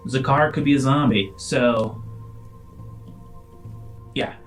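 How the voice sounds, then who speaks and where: A man's voice: mid-range voice, fairly even intonation, takes it slowly. Chatty man hosting over faint music. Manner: chatty, informal, addressing listeners directly. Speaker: host and game master. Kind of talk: casual podcast talk and tabletop game narration.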